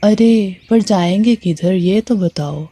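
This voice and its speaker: A woman's voice: medium pitch, slow pace, warm tone, a little hiss or hum, natural voice. A woman playing a helpful, cautious male bird in a moral story cartoon, speaking earnestly. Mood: hesitant, agreeable.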